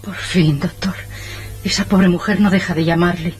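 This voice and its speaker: An actress playing a worried nursing nun in an old-fashioned radio serial. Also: female voice, fairly low pitch, fairly even intonation, normal speed, a little mellow, breathy edge, faint music behind.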